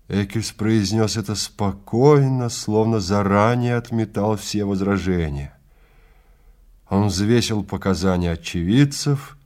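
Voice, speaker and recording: A man narrates a dramatic story in a fairly low voice. His delivery is very slow and measured, with a theatrical storyteller's manner. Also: a little mellow.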